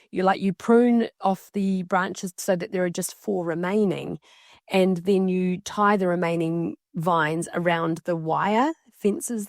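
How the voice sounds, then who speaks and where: A woman interpreting a signer's casual story aloud, in halting, conversational phrases. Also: lowish voice, no room sound, studio quality. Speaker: sign language interpreter voicing a signer.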